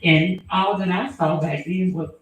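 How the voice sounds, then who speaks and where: A woman attorney, answering interview questions in an earnest, measured way with frequent fillers. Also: on the low side, steady tone. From Alabama, US. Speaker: attorney and former prosecutor. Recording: very echoey room.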